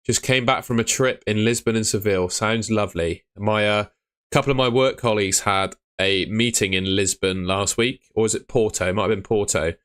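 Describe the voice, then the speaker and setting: A man's voice: fairly low pitch, fairly even intonation, moderate pace, fairly bright tone, smooth. A young man who is losing his voice, streaming from his room at home and answering viewer questions in a blunt, chatty way. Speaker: fantasy football streamer.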